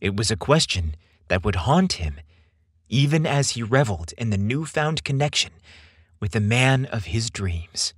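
A man reading scripted fiction as a storyteller, with theatrical, deliberate phrasing. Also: medium pitch, big pitch swings, slightly rough, no echo, studio quality. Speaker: narrator of a fiction podcast. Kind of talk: scripted dramatic story narration.

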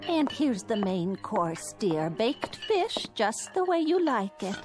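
Radio play: a solicitous minister's wife, high lively voice, slow. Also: female voice, music in the background. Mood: fussing. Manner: solicitous and fussing. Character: fussing, devoted pastor's wife.

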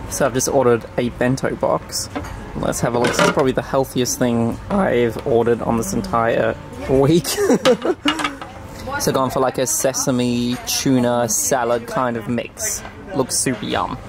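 A man's voice: medium pitch, steady tone, unhurried, a crowd in the background. Chatty young man touring a ship. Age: young adult. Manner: upbeat, chatty tour-guide delivery. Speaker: travel vlogger. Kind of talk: casual travel vlog tour. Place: indoor areas of a cruise ship. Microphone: camera held at arm's length.

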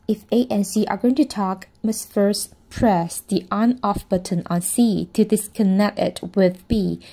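A woman narrating a scripted product tutorial in a measured, step-by-step instructional manner. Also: medium pitch, mellow.

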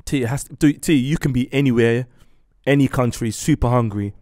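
A man whose first language is Arabic, chatting in casual studio banter, animated, laughing often and repeating his points. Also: mid-range voice, a little fast. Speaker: podcast panelist. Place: studio with dark curtains. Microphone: studio mic on desk stand.

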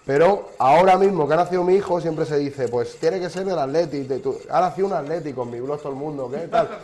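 A man's voice: fairly high pitch, fast, warm tone. A man in his thirties from Spain, a sports journalist guest chatting casually in a kitchen interview, telling rambling anecdotes with many restarts. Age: 30s.

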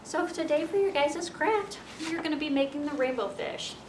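American woman storyteller, roomy distant sound. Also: moderate pace. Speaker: children's library storyteller. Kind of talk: reading a picture book aloud to children. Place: library children's area, indoors. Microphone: camera a few feet away. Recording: roomy, distant mic with noisy rumble.

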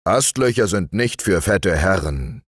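A man's voice: low-pitched, lively intonation, unhurried, slightly rough, no echo, studio quality, full character voice. A man doing a grumpy, grumbling older bear. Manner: grumbling, sly.

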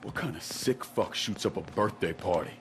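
A deep-voiced American man, a hardened video game soldier. He is skeptical and plain-spoken, with a lively swing in pitch. Clear ambient noise sits behind him. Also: moderate pace. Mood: wary, blunt. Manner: blunt, skeptical, profane. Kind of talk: video game cutscene acting.

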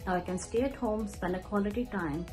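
A woman speaks sincerely, pausing now and then, close to her camera at home. Her pitch sits in the middle. Faint music plays under her. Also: darkish tone. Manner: sincere, slightly halting. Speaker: mother running an online business. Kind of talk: promotional testimonial.

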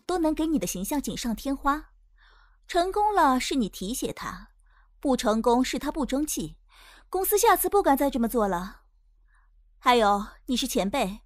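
A woman's voice: sits high, a little mellow, no room sound. A woman playing a shrewd, teasing music-business insider who coaxes in an audio drama. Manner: coaxing, teasing. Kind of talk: radio drama acting.

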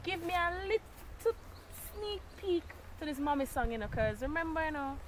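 Upbeat young woman interviewer, high voice. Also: sing-song, moderate pace, slight background noise. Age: young adult. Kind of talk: casual interview. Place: outdoors in a garden. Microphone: camera mic from a few feet away.

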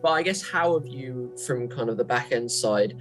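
A man's voice: medium pitch, expressive, moderate pace, fairly bright tone, faint music behind. A young man asking questions in an informal, hedging way as an interviewer on a video call. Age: young adult. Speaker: interviewer, magazine editor. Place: small room at home, video call.

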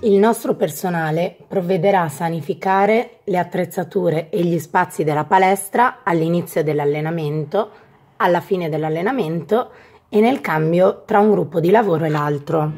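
A woman's voice: fairly low pitch, normal speed, a little mellow. A woman reading scripted instructional narration, addressing young athletes in an explanatory manner. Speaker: gym staff narrator. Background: background music.

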